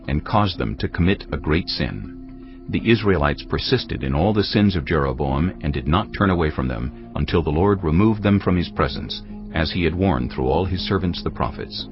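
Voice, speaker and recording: A man narrating dramatised scripture from a script in a grave, measured, dramatic manner. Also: extremely low pitch, expressive. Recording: dull audio, music underneath.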